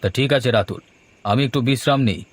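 A man playing a kindly, secretive ghost uncle with a gentle, measured manner in a horror cartoon. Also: mid-range voice, dry recording, lightly acted. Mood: calm, evasive.

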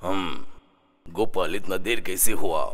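A man playing a stately king in a cartoon, speaking in a measured, commanding, formal way as he presides over his court. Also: low voice, a bit bright, full character voice. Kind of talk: Hindi cartoon dubbing.

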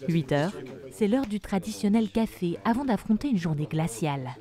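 A woman reading a scripted documentary voice-over in a measured, even way. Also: mid-range voice. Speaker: documentary narrator. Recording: voice-over with music behind. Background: music.

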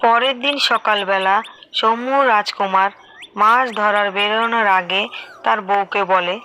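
A woman's voice: mid-range voice, steady tone, unhurried, pushed and bright, a little hiss or hum, rough recording. A woman narrating a fairy-tale cartoon in a scripted storytelling style.

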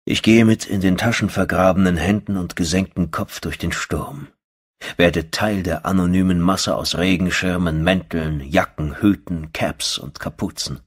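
A man narrating a first-person horror audiobook in a brooding, terse, dry manner with a husky voice. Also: sits low.

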